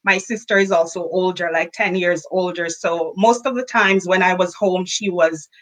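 A woman's voice: fairly low pitch, steady tone, normal speed, a bit bright, poor audio. A woman from rural Jamaica with a Jamaican accent, chatting casually and cheerfully as an interview guest. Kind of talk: casual chat.

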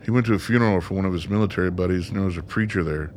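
A man's voice: deep voice, very fast, darkish tone, a little hiss or hum, natural voice. A man acting in a radio play as a bitter, sarcastic, foul-mouthed son with a blunt manner. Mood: scornful. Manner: blunt, sardonic.

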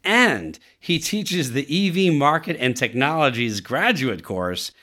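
A man's voice: mid-range voice, expressive, measured pace, pushed and bright. A middle-aged man hosting a podcast, reading a polite, earnest scripted introduction before interviewing his guest. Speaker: podcast host.

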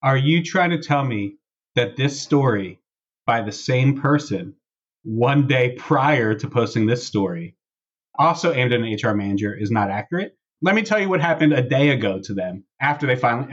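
A man with a husky voice hosting a casual podcast, chatting and reading posts aloud in a wry, sarcastic way. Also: medium pitch, lively intonation, brisk, muffled recording. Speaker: podcast host.

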